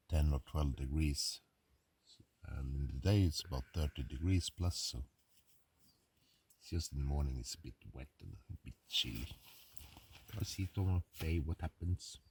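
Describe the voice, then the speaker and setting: A low-voiced Swedish man talks in an easygoing, loosely phrased way, like he's filming a paddling trip. It was recorded outdoors on a phone, and steady background noise sits clearly under his voice. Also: moderate pace, long pauses. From Sweden. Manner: easygoing, plain, loosely phrased running commentary. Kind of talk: casual travel vlog narration. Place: outdoors on a river, wind and water around. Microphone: smartphone mic.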